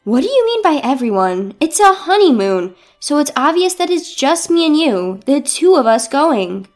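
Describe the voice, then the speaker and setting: A woman's voice: high-pitched, very animated, moderate pace, faint music behind. A woman voicing an indignant young bride who protests incredulously in a dramatized story. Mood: baffled. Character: indignant young bride standing her ground.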